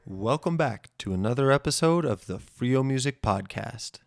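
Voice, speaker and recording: A man's voice: mid-range voice, lively intonation, normal speed, gravelly, no echo, clean studio sound. A man hosting a podcast, introducing guests and asking questions. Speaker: podcast host.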